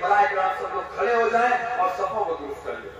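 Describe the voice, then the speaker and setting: A young man preaching an Eid sermon in an impassioned, shouted style with rhythmic repetition. He is heard from a distance at a large prayer gathering. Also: sits high, expressive, fast. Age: young adult. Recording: echoey hall, distant mic, loud crowd. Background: loud crowd.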